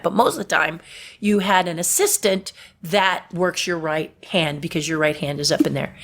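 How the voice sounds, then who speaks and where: Woman, middle-aged, from Southern California, deep voice, low pitch, chatty interview guest. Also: a bit bright. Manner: chatty, laughs at her own stories. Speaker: puppeteer guest. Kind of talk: casual interview storytelling.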